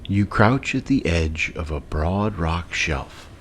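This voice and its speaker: Male meditation narrator, low register, very unhurried scripted delivery with long pauses. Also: animated, fairly bright tone, slight background noise. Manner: slow, measured, with long pauses.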